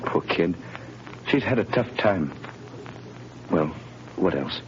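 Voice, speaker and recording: An actor playing an earnest, pleading young husband who is wrongly jailed, in 1930s radio acting. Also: male voice, sits low, lively intonation, slow. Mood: worried. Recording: dull old radio broadcast with hiss.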